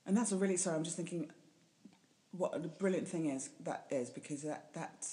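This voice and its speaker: A woman's voice: low voice, fairly quick, dark tone. A woman from Zambia hosting a podcast interview, encouraging her guest and affirming often. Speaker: podcast host.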